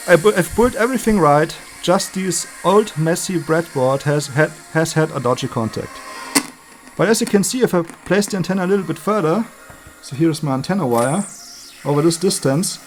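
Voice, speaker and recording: A man with a moderately high-pitched voice presents a hands-on electronics demonstration. He speaks without a script, as a hobbyist would at the workbench. His remarks come in short, halting pieces as he thinks aloud.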